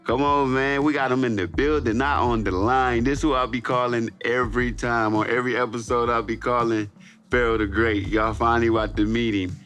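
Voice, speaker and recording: A man's voice: medium pitch, steady tone, brisk, fairly bright tone, faint music behind. A man hosting a podcast in casual, slangy banter, hyping up his guest and cracking jokes. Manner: hype, joking, slangy banter. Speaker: podcast host. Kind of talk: casual interview chat.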